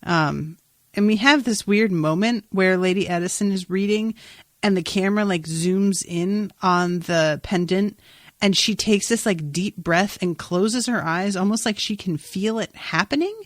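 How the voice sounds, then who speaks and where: A woman's voice: medium pitch, measured pace, dry recording, studio quality. A woman podcast host talking through a TV episode in an informal, rambling way with exclamatory asides. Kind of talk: casual TV recap chat.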